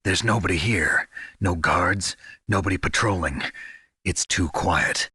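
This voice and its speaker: An actor playing a gruff, weary special-ops soldier in a video game, terse, deadpan and gravelly. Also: male voice, deep voice, measured pace, no echo, studio quality, exaggerated voice. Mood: terse, questioning. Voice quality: gravelly, husky. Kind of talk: dramatic video game voice acting.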